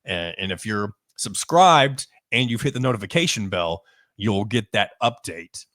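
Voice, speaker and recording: A man's voice: fairly low pitch, expressive, unhurried, a bit bright, no echo, clean studio sound. A man hosting a sports podcast, talking casually with frequent ums and restarts.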